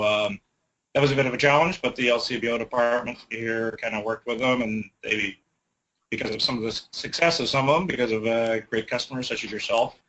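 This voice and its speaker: A man with a bright, tense voice chats about brewing in an easygoing, rambling way. He is recorded in an office. The sound is dull, with a faint hiss behind it. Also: medium pitch. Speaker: brewery guest. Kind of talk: casual interview chat.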